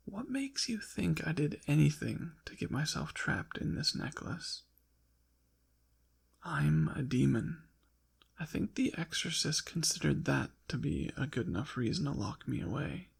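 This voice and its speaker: A man voicing a haughty, possessive ancient demon in an intimate ASMR roleplay, with formal, deliberate diction and a breathy, gravelly whisper. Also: a little high, a bit bright, heavily acted. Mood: indignant, brooding. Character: possessive ancient male demon, haughty, teasing, quietly softening. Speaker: audio roleplay performer.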